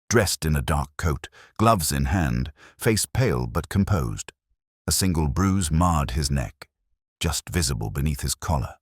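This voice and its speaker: Man, very low voice, lively pitch movement, measured formal mystery narration. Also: husky, no echo, clean studio sound. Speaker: audiobook narrator. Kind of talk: scripted mystery story narration.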